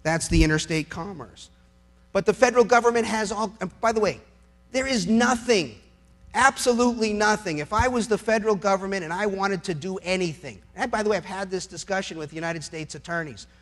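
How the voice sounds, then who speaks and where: High-pitched man making an emphatic speech. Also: brisk. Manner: emphatic, incredulous, rhetorical. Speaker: lawyer giving a public talk. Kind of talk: persuasive political speech on law, with anecdotes and rhetorical questions.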